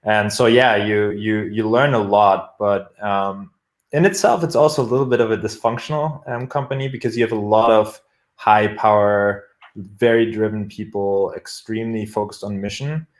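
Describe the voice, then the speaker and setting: A man's voice: on the low side, normal speed, echoey room. A young man from Germany with a thick German accent, a guest talking casually about his work with lots of fillers and restarts. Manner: rambling, many fillers and restarts. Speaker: guest product manager. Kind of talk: casual interview chat.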